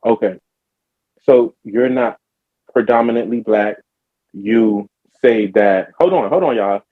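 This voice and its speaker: A man's voice: on the low side, slow pace, no echo, narrow, tinny audio. A man hosting a casual podcast, probing and pushing back on the answers. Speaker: podcast host. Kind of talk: casual chat.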